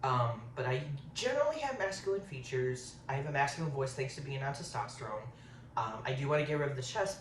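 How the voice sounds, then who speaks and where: A man in his thirties chatting casually while eating on camera, rambling and saying um a lot. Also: mid-range voice. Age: 30s. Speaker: vlogger. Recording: roomy, distant, faint hiss.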